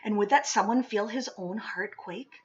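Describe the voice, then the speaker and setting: A woman reading a children's picture book aloud expressively, with deliberate phrasing, dramatic pauses and emphasis. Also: mid-range voice, highs cut off. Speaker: read-aloud storyteller.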